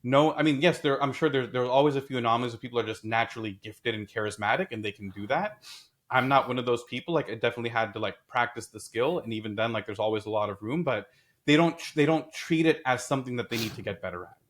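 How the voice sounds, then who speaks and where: A man in a casual discussion, talking in an animated way and telling stories with acted quotes. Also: medium pitch, fast. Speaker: podcast host.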